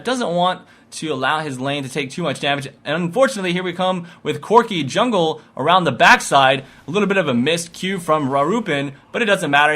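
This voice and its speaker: A male esports commentator with a bright, forceful voice that sits a little high. He calls video game play moment by moment, with an excitable delivery that follows the action.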